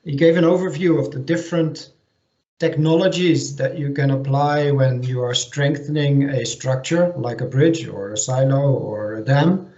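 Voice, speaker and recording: A man giving an online technical presentation as a technical manager, speaking hesitantly with many pauses and restarts. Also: mid-range voice, warm tone. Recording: echoey lo-fi video call audio.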